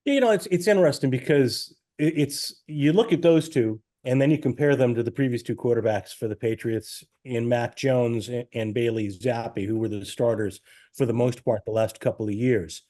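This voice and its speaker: A man speaking as an interview guest, a sports broadcaster giving long, halting, carefully qualified analysis. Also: medium pitch, warm tone. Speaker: guest, team radio play-by-play announcer.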